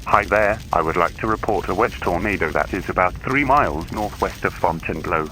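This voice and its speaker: A man's voice: sits low, brisk, pushed and bright, slight background noise, poor audio. A man playing an earnest storm spotter who phones in a report politely but urgently, in an amateur disaster drama. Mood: concerned, earnest. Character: earnest male storm spotter phoning in a tornado. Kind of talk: acted phone report in a fan disaster scenario.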